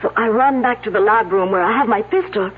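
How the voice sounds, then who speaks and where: An actress playing an earnest, anxious young science assistant in a radio drama, with stiff, unidiomatic phrasing. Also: female voice, mid-range voice, brisk, breathy edge, lightly acted. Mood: tense, defensive. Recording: tinny old radio broadcast.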